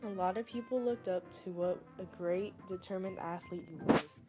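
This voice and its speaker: A woman's voice: mid-range voice, normal speed. A young woman presenting a sports segment as scripted narration, with music behind her.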